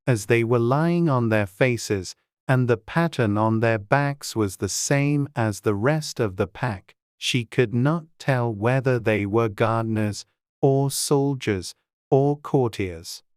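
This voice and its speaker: A man's voice: mid-range voice, darkish tone, clean tone, dry recording, studio quality. A man reading scripted storybook narration as an audiobook narrator. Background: faint music.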